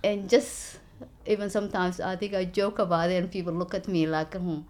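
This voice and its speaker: Woman, 40s, lowish pitch; earnest interviewee, halting delivery. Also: flat delivery, a little mellow. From Somalia. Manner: earnest, halting, many fillers and restarts. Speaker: local election candidate. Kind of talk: interview, personal story.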